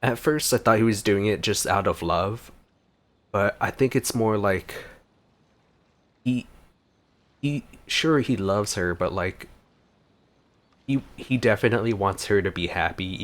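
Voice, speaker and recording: Low-voiced man doing casual gameplay commentary. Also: unhurried, long pauses, a bit bright. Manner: informal, exclamatory, thinking aloud. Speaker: gaming video creator.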